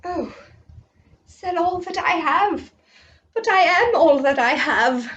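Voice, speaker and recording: A woman's voice: very high voice, lively intonation, slow, a bit bright, distant mic, dull audio, voice pushed up, exaggerated voice. A woman voicing a proud rich man who frets dramatically for a children's story. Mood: fretful. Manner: dramatic gasps and sighs.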